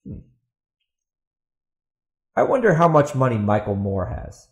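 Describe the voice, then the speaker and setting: A man's voice: medium pitch, sing-song, a little slow, roomy sound, highs cut off. A man hosting a podcast, giving opinionated commentary in a sarcastic, emphatic way and repeating himself for stress. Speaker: podcast host and author.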